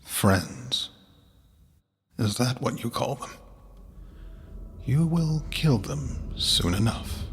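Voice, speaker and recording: An actor playing an ominous disembodied voice in an audio drama, cryptic and menacing. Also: male voice, mid-range voice, sing-song, extremely slow, pauses often, a little hiss or hum, full character voice. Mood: cryptic menace. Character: ominous disembodied male voice haunting a mind. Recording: long reverb, loud music behind.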